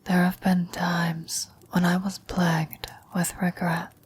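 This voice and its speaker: A woman playing a frail, dying old woman in a whispered ASMR roleplay, gentle, wistful and philosophical, speaking slowly and haltingly. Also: on the low side, level tone, exaggerated voice. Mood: wistful, reflective. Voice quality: breathy whisper. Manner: slow, halting, reflective delivery with long pauses.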